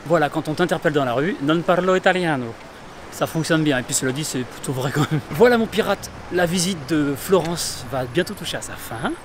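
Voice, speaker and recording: A man's voice: on the high side, a little fast, noisy background. A man walking outdoors in a city, chatting enthusiastically to his viewers in a casual travel vlog. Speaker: travel vlogger. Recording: music playing behind.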